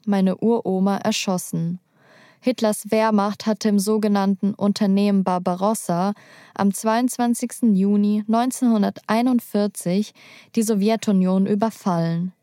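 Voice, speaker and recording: Woman, young adult, journalist, documentary narration, calm and measured, mellow tone, dry close mic. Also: medium pitch, no echo. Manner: calm, measured, personal storytelling.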